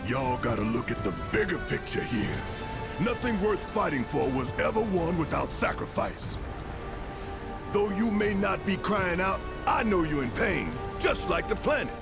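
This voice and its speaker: An actor playing a brash, passionate rebel leader in a video game, with a preachy, theatrical, folksy delivery. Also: male voice, high-pitched, sing-song, measured pace, gravelly, heavily acted. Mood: rousing, preachy. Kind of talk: dramatic video game acting. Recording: loud music behind the voice. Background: loud game score.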